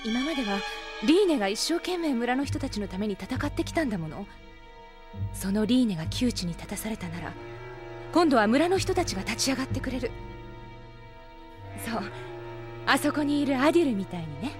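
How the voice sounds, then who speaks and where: A woman's voice: on the high side, very animated, brisk, long pauses, over music. An actress playing an outspoken, self-assured young female elf with a blunt, feminine way of talking, in an anime audio drama. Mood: reassuring.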